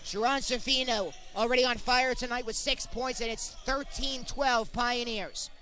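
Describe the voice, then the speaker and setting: A man's voice: extremely high pitch, steady tone, normal speed, pushed and bright, soft background music. A man working as a sportscaster, delivering play-by-play and scripted reporting.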